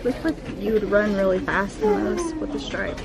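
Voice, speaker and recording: A woman shopping and chatting in a relaxed way, reading out prices and admiring clothes. Her voice is slightly high. Shoppers murmur clearly around her in the store. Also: normal speed, a crowd in the background. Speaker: vlog co-host. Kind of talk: casual chat. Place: inside a quiet clothing store.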